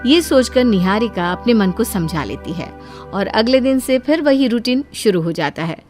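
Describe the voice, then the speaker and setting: A woman's voice: a little high, expressive, moderate pace, a little mellow. A woman narrating a scripted story as a storyteller. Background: background music.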